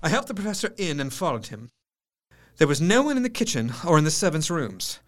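An actor playing a grave, shaken Victorian doctor, narrating a diary in measured, literary style for an audio drama. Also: male voice, fairly high pitch, big pitch swings, background noise. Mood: grave, horrified. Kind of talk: dramatic scripted first-person narration.

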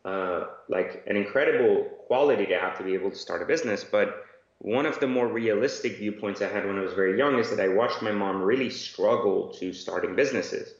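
A man's voice: on the low side, fairly quick. Man with an echoey, dull line. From Iran. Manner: rambling, many fillers and restarts. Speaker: guest entrepreneur. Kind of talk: conversational interview answers. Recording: echoey, dull remote audio.

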